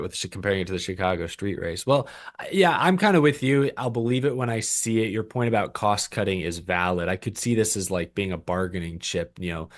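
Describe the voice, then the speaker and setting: A man co-hosting a racing podcast in casual chat, talking loosely with frequent laughs and restarts. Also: lowish voice. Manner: chatty, laughs often, many restarts. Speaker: podcast co-host.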